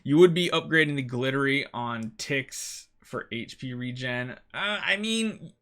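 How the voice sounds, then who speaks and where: A man with a bright, forward voice hosts a gaming video. He talks casually through gameplay advice, leaning on key words and repeating them for emphasis. His pitch sits in an ordinary middle range for a man. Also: animated, a little slow.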